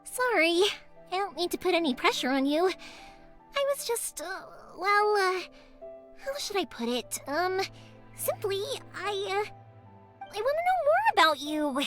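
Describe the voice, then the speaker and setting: Bubbly young anime game girl, super high n expressive, talks eagerly, music behind her. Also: a little slow, pauses often, full character voice. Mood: excited, determined, then flustered, earnest. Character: cheerful, chatty young woman who makes fireworks, earnest and dreamy. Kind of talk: video game character voice lines. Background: background music.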